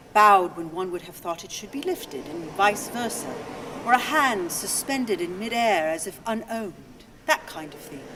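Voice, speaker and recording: An actress playing a weary, cool, matter-of-fact wife in a radio play, speaking in measured, detached phrases. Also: female voice, lightly acted. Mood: reflective. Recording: distant from the mic, noisy ambient rumble.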